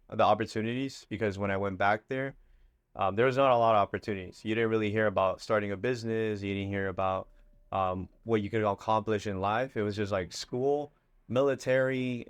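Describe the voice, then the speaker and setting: Laid-back young man, low dry voice. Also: smooth, no room sound. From Ecuador, raised in Miami. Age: 20s. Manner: relaxed, loose, many fillers. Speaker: guest entrepreneur. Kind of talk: casual interview talk.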